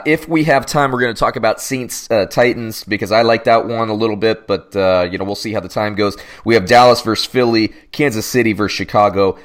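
A young man co-hosting a casual sports podcast, teasing his partner and rambling with restarts. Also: fairly low pitch. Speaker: podcast co-host.

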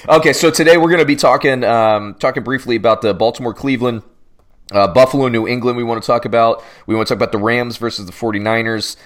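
A man's voice: mid-range voice, fairly even intonation, quick pace. Joking, rambling male sports podcaster. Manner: joking, teasing, rambling with restarts. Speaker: podcast co-host. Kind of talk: casual sports podcast chat.

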